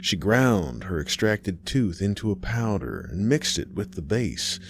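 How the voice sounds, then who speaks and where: A man reading a scripted horror story in a dramatic, measured way, pausing between phrases. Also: deep voice, big pitch swings, noisy background.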